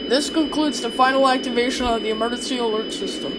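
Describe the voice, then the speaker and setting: A performer playing a grave President, giving a solemn, deliberate scripted emergency address about the end of the world. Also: steady tone, lightly acted. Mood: solemn, resigned. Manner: solemn, deliberate, repeating for emphasis. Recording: very loud noise, vehicle-like rumble.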